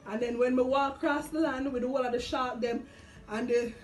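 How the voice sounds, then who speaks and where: High-voiced Jamaican woman over background music. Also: fairly quick. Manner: expressive, slipping between English and Patois. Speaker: vlog host. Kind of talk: casual faith chat, retelling and reading scripture. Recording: music behind the voice.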